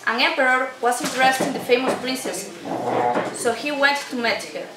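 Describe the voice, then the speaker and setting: A woman's voice: sits high, moderate pace, background noise. A young woman reading a folktale narration aloud from a script for a puppet show. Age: young adult. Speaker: student narrator. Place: indoor room at a day care centre. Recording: echoey room, clatter of crowd and dishes.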